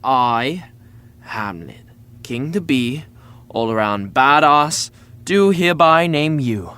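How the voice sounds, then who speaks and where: A man's voice: medium pitch, expressive, slow, sharp tone, a little hiss or hum. An actor playing a young, tipsy, self-deprecating party-boy prince in a modern comedy audio drama, with slangy, boyish bravado. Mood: mock-grand. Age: young adult.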